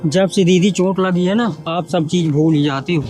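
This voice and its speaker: A man's voice: high-pitched, fairly even intonation, normal speed, darkish tone, lightly acted. A man playing a loyal, respectful sidekick in conversational Hindi short-film dialogue. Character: loyal, respectful male sidekick to elder sister.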